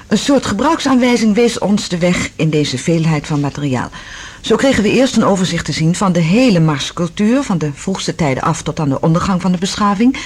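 A woman's voice: mid-range voice, a little mellow, slightly rough. An actress playing a curious, affectionate woman explorer in a Dutch science fiction radio drama, with an inquisitive, careful delivery.

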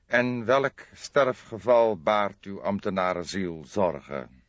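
A man's voice: fairly low pitch, slow, a bit bright, no room sound, dull audio. A man voicing a haughty, evasive doctor in his late fifties for an audiobook, growling and hemming nonchalantly. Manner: nonchalant, hesitant hemming and drawling.

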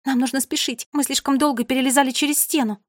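A woman's voice: high-pitched, fairly quick, no echo, pitched above the performer's own voice, close to an everyday voice. Storybook schoolgirl, anxious and chirpy, thin raised voice, close dry recording female narrator acting. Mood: urging. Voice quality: thin, small voice. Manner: fretful, pleading. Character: anxious, chirpy young girl with thin little voice.

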